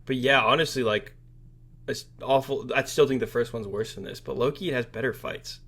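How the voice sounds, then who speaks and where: A man's voice: medium pitch, moderate pace, fairly bright tone. A man co-hosting a casual film podcast, chatting informally and somewhat tentatively, often trailing off. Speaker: podcast co-host. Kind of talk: casual movie discussion podcast.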